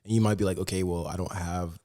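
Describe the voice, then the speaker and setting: A young man from the United States with a very low voice, chatting casually on a podcast about his travels. He keeps it loose and conversational, with lots of "like" and easy filler. The talk stays friendly and unhurried throughout. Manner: relaxed, chatty, many "like"s. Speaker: podcast co-host.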